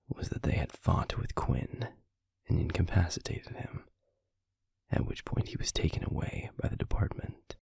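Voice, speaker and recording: A man playing a stern, guarded werewolf pack alpha in an audio roleplay, speaking formally and deliberately in a gravelly, husky voice. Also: deep voice, darkish tone, no room sound, exaggerated voice. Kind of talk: scripted audio roleplay acting.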